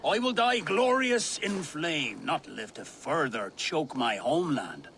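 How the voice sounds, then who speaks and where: A proud merry lord in a game, gravelly, big swoopy theatrical delivery, noisy ambience. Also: male voice, very animated, measured pace, fairly bright tone, full character voice. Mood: solemn, resigned. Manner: theatrical, booming. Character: boisterous, doomed nobleman, proud and merry. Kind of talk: video game drama acting. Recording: clear background ambient noise.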